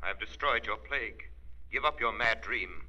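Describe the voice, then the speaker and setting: An actor playing an invisible avenging crime fighter, menacing, commanding and declamatory, in an old radio drama. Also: male voice, on the low side, sharp tone. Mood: stern, triumphant. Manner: declamatory, taunting, deliberate. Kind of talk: 1940s radio drama acting. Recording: dull old radio recording.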